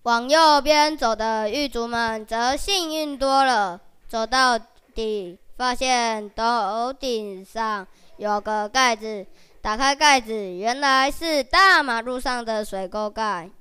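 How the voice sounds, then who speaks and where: A woman's voice: a little high, slow, sharp tone, clear, resonant tone. A young female narrator, reading a scripted children's story. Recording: music behind.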